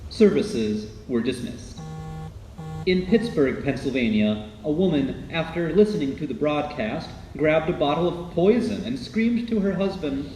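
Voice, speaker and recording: A man's voice: fairly high pitch, animated, unhurried, darkish tone. A man narrating a scripted radio documentary in a dramatic, announcer-like way. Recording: echoey, faint background noise.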